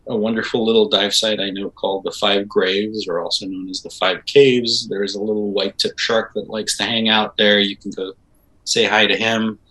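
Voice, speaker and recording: A middle-aged man from Israel talks casually as an interview guest. His voice is bright and forceful and sits fairly low. He tells his stories in an animated way, full of ums and restarts. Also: poor audio. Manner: animated storytelling with laughs and fillers. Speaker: composer guest.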